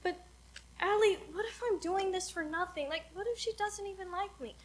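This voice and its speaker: A woman's voice: very high-pitched, normal speed. A woman playing a nervous, lovesick teenage schoolgirl with a hesitant, stammering delivery in a comic stage play. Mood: worried, doubtful.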